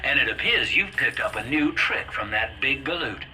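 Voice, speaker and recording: An actor playing a folksy, wisecracking hustler in a retro game, with a chummy drawl. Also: male voice, mid-range voice, expressive, moderate pace, pushed and bright. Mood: jovial, instructive. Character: folksy, slick fast-talking male hustler guiding the player. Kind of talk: stylized retro game voice acting, colorful period slang. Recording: noisy radio-style transmission.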